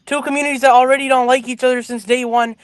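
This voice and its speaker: A man with a very high voice who keeps an even, flat tone as he argues earnestly on a talk show. His voice sounds dry and close, with no room around it. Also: fast talker, clear, resonant tone, dry recording. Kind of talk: casual talk show commentary.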